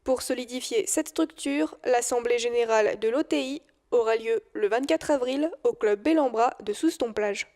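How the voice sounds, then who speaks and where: A woman's voice: a little high, normal speed, hardly any pauses, mellow. A woman reading scripted news narration as a reporter.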